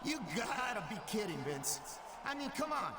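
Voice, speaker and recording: Casting: scheming, streetwise game wrestler, high animated voice in long reverb. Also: male voice, normal speed, noisy background. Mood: defiant. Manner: streetwise, slangy, defiant. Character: cocky, scheming male pro wrestler.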